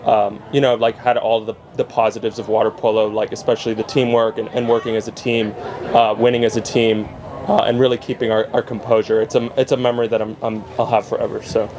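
A man in his twenties, a senior athlete, giving hesitant, halting answers at a postgame press conference. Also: fairly low pitch, level tone, background noise. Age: 20s. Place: outdoor tent at a poolside press table.

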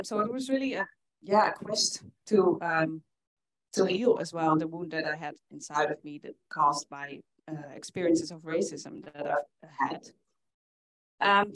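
An earnest young woman from the Netherlands presents her project at a conference, with a fairly low voice. She pauses often with "um" and "uh". An audience is clearly heard in the hall around her. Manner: earnest, with frequent fillers. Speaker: conference speaker. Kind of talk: presentation talk.

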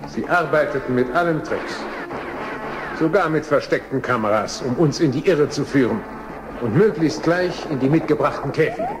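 An actor playing a chatty, wry jungle animal who narrates a children's audio drama straight to the listeners. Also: male voice, fairly high pitch, expressive, normal speed, over loud music, natural voice, rough recording. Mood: storytelling.

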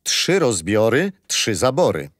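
An actor playing a patient, gentle grandfather telling children a history story in a radio play. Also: medium pitch, very animated, slow pace, no room sound, studio quality. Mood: patient, fond. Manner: gentle, didactic.

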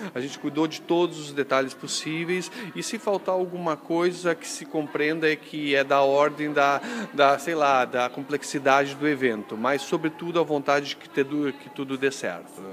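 A man's voice: medium pitch, fairly bright tone. A middle-aged man, an event coordinator giving an interview and rephrasing as he goes. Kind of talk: on-site interview. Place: indoor lobby with large windows. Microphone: handheld reporter mic.

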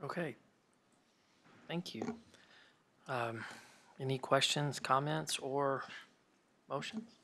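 Board president guy, gravelly bright, quick procedural stuff. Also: medium pitch, slight background noise. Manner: brisk procedural. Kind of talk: chairing a meeting. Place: large board meeting room.

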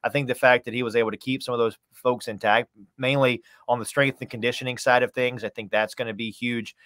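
A man's voice: medium pitch, fairly even intonation, brisk, a bit bright, no room sound. Emphatic sportscaster man, dry close sound. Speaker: sports play-by-play announcer, podcast guest. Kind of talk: conversational sports interview. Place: small home room, video call.

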